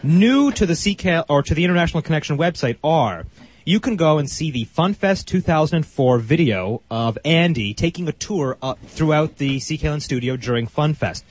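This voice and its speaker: A man co-hosts a Sunday morning community radio show. His voice is a little husky. He chats and rattles off station announcements at a brisk pace. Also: on the high side, animated. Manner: chatty, reads announcements briskly. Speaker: radio co-host. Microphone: studio microphone.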